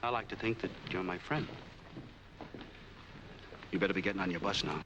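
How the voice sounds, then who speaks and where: Humble American street friend, acted by a man, deep deliberate voice, 1940s noir picture with a noisy old soundtrack. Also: highs cut off, close to an everyday voice. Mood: humble, friendly. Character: humble, loyal down-and-out friend, plain-spoken. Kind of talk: 1940s film noir acting.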